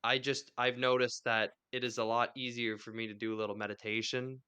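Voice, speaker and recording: A man hosting a podcast, talking in a relaxed, reflective and friendly way. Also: mid-range voice, a little fast, clear, resonant tone. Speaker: podcast host. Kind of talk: casual podcast conversation.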